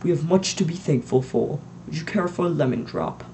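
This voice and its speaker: A reader doing a calm, gentle old wizard. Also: mid-range voice, normal speed, a little hiss or hum, natural voice, rough recording. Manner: gentle, calm and mildly amused. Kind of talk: audiobook reading.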